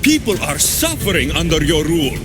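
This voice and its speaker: An actor playing an earnest, defiant hero in a video game, with a blunt way of talking. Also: male voice, on the high side, big pitch swings, brisk. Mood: defiant, angry. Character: earnest, defiant human hybrid hero, male. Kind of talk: dramatic video game acting. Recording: loud music behind the voice.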